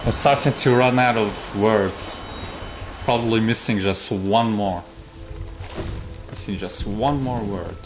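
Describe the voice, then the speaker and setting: Young guy from algeria chatting w/ his stream, lowish voice, game music going. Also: takes it slowly, pauses often. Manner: chatty, reads and answers chat. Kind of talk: casual gaming stream chat.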